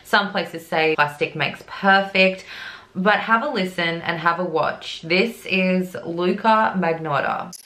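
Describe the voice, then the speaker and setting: A woman's voice: lowish voice, slow pace, pushed and bright, reverberant. A woman hosting a true crime vlog, narrating casually and giving her opinion in a chatty way. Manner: chatty, opinionated, quotes others in passing.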